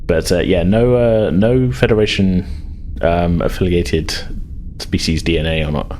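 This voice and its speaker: A man's voice: low-pitched, measured pace, darkish tone. A man acting as game master, narrating casually and improvising in a rambling, self-correcting way. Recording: faint background noise.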